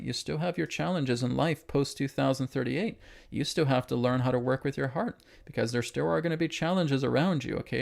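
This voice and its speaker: A man's voice: medium pitch, gravelly. A man giving an emphatic spiritual lecture to an online audience, hammering points with repetition and asking "right?" after them. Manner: emphatic, repetitive, asks rhetorical questions and tags "right?". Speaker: spiritual channeler and host.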